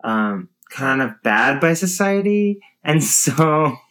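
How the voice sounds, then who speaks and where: A woman in her thirties with a low voice, talking casually and thoughtfully with many fillers and restarts. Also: sing-song, slow, a bit bright. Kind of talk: casual conversation.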